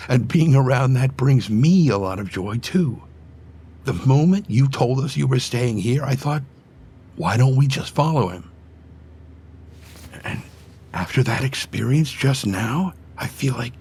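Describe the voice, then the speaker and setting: A man voicing a gentle, sentimental aging father animal in a motion comic, speaking tenderly and with emotion in a soft, husky voice. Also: medium pitch, slight background noise.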